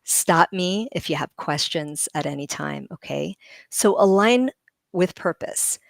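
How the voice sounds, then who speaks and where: Animated middle-aged woman, dry sound. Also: lowish voice, unhurried, gravelly, no echo. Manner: animated, laughs often, vivid analogies. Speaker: guest speaker on leadership. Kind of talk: livestream panel talk.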